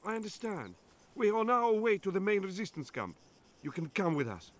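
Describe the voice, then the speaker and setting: An actor playing a proud, embittered island resistance fighter in a game cutscene, solemn and deliberate. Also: male voice, high-pitched, very animated. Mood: conciliatory. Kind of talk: video game cutscene voice acting.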